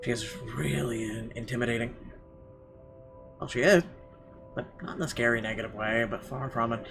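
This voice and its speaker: A man reads visual novel narration aloud as a let's player. His delivery is expressive and a little stumbly, at a medium pitch, with clear music behind him. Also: moderate pace.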